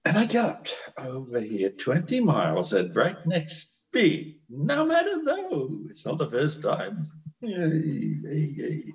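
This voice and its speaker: A man as a wry, lively country doctor. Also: on the high side, exaggerated voice. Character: wry, blunt country doctor, long-suffering. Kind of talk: amateur play reading. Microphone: video call microphone. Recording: dull, slightly distant video-call sound.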